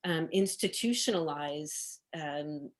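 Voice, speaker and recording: A woman with a fairly low voice leads a workshop over a video call. She talks in a casual, hesitant way, with fillers and restarts along the way. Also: animated, slow pace. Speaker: workshop facilitator.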